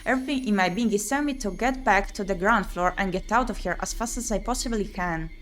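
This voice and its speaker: A woman streamer reading a visual novel aloud in an expressive, theatrical way as she plays through it. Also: medium pitch, quick pace. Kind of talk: expressive read-aloud of visual novel text with playthrough commentary.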